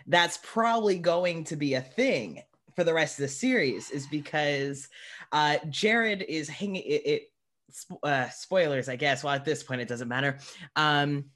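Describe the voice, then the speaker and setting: A man's voice: fairly high pitch, a little slow, fairly bright tone. A man hosting a podcast, chatting casually and often rephrasing as he speaks. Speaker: podcast host interviewing a guest. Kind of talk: casual interview chat.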